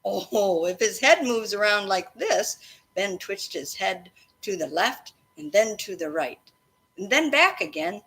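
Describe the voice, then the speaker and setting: A woman teacher reading a storybook aloud to children expressively, pausing to explain and prompt her listeners. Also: mid-range voice, expressive, a bit bright. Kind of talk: interactive children's read-aloud of a storybook, with questions and asides. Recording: faint background noise.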